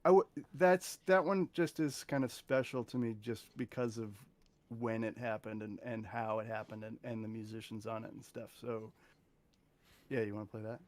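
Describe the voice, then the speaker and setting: A man's voice: lowish voice, moderate pace. A man, a guest composer, chatting casually on a video call and often trailing off mid-sentence.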